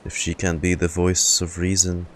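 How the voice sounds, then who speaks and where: A man's voice: low voice, completely flat delivery, normal speed, slight background noise. A man doing casual live reaction commentary as a streamer watching a game. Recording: loud game music behind voice. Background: game music.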